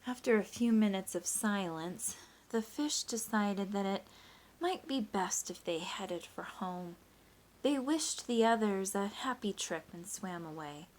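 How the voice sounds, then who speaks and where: A woman's voice: medium pitch, expressive, a little slow, a little mellow. Woman teacher reading a storybook aloud. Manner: prompting listeners with questions, explaining step by step. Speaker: teacher reading a picture book aloud to pupils. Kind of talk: expressive storybook read-aloud mixed with simple math teaching.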